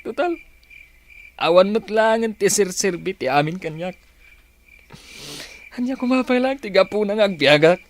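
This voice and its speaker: Give me a heartbroken, reproachful lover with big pitch swings. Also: male voice, animated, measured pace, pauses often, a little hiss or hum. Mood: despairing. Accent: northern Philippine. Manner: emotional, pleading. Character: heartbroken, reproachful young man, a rejected lover. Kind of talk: radio melodrama acting.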